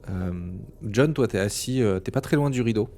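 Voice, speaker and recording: A man narrating a tabletop role-playing game as game master in a relaxed, halting way. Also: fairly low pitch.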